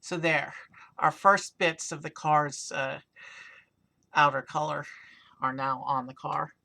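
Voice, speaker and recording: Man, hoarse, raspy, bright tone, gravelly, loose rambling stream chat. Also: a little high, takes it slowly. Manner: relaxed, rambling, many fillers. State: hoarse from overuse. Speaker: streamer building a toy car set. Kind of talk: casual live-stream commentary.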